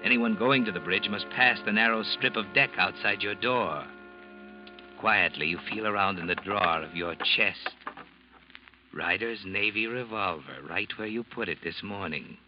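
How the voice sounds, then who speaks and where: Man acting low, ominous old radio suspense narrator. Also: normal speed, lightly acted. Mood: ominous, taunting. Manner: insinuating, taunting second-person address. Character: ominous male narrator addressing a guilty schemer as you. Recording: muffled old radio recording with loud music.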